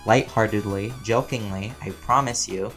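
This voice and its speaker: A man's voice: on the low side, normal speed, soft background music. A man chatting casually and playfully as a voice actor answering listener questions in a rambling Q&A. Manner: playful, chatty, laughs often, animated emphasis.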